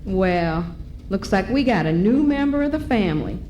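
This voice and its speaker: A woman's voice: mid-range voice, lively intonation, normal speed, muffled recording, close to an everyday voice. A woman playing a homely, skeptical, folksy mother in an old-time radio comedy. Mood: puzzled. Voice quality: folksy, colloquial speech. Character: homely, skeptical small-town mother, gently amused. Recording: echoey, noisy ballroom recording.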